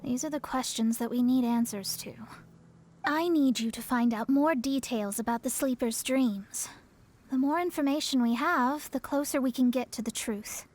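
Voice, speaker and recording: Proud young astrologer woman, high voice. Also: moderate pace, darkish tone. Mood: businesslike. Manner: haughty, self-assured, articulate. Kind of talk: video game character acting.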